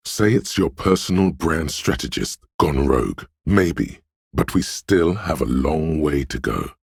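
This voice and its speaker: A man voicing a slick, smug, money-minded inner voice in a video game, speaking in a suave, deliberate, theatrical way. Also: darkish tone, no echo, studio quality, full character voice. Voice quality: very deep, gravelly, husky. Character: slick hustling inner voice of a detective, smugly money-obsessed. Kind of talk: scripted game narration, theatrical and measured.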